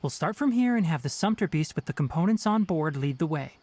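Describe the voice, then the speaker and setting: A man's voice: on the high side, expressive, brisk, darkish tone, slight background noise, lightly acted. An actor playing a young male forest watcher in a video game, analytical and precise, with a dry, blunt manner. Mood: dry, instructing. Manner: precise, scholarly, matter-of-fact.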